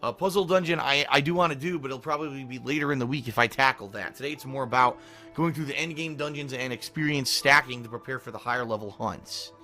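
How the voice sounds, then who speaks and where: A man with a hoarse, strained voice that still sounds bright and tense, talking casually to his stream audience. He rambles loosely, clears his throat often and keeps tagging on "okay?" as he talks. Faint music plays underneath. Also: medium pitch, fast. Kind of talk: casual pre-stream schedule talk to chat.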